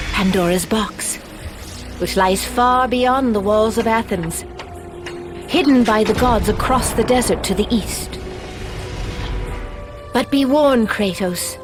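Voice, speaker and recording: A mysterious oracle, slow, knowing, ominous; game cutscene, music under. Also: female voice, medium pitch. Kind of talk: video game cutscene acting. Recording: loud music behind.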